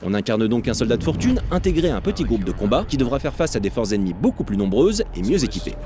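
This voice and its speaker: A man's voice: medium pitch, big pitch swings, quick pace, background noise. A man reading scripted video game news over music and trailer sound.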